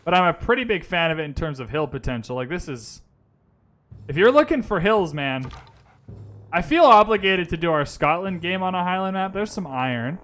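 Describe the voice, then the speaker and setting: A man with a bright, forceful voice pitched a little high talks through a strategy game in a live stream. He thinks his moves aloud in a loose, chatty way and breaks off to answer viewers. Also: animated, normal speed, faint music behind. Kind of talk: casual gaming commentary. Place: lives in Vancouver.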